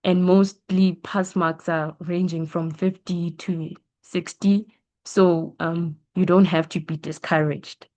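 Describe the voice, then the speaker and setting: Low-voiced young woman giving gentle advice. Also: fairly even intonation, takes it slowly. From South Africa. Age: young adult. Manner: reassuring, patient, frequent ums. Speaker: science student answering questions. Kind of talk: casual advice session. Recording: dull lo-fi audio.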